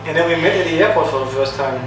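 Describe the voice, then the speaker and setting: A man's voice: on the high side, very fast. A man telling how he met his partner in a playful, teasing way. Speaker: interviewee. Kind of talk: casual interview. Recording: very echoey room, distant mic. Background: music.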